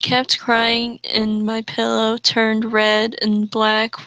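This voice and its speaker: Female co-host, slow delivery, close dry sound, casual reading and commentary. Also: a little high, monotone, sharp tone, no room sound. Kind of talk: casual commentary while reading fanfiction aloud.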